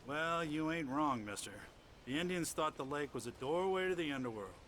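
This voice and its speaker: A lively, folksy American park manager. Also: male voice, a little high, normal speed, a little hiss or hum. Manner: folksy, neighborly, plain-spoken. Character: folksy, God-fearing male trailer park manager.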